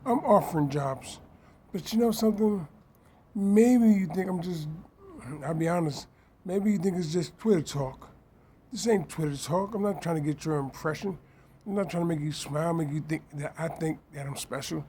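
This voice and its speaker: A man's voice: a little high, normal speed, mellow, slight background noise. A man, getting over a cold, talking emphatically and repetitively in a casual live stream about his businesses. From New York. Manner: emphatic, repetitive, self-promoting. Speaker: fashion designer and business owner.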